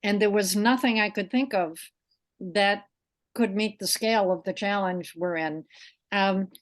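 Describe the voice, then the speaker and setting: A woman's voice: mid-range voice, a little slow. A middle-aged woman hosting an interview, speaking earnestly and reflectively about her own experience. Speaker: interview host. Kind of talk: conversational interview.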